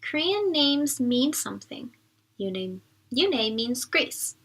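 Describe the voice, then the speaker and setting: Bright-voiced woman reading a storybook aloud. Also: high-pitched, big pitch swings, takes it slowly, husky. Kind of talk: interactive children's read-aloud with questions and word explanations.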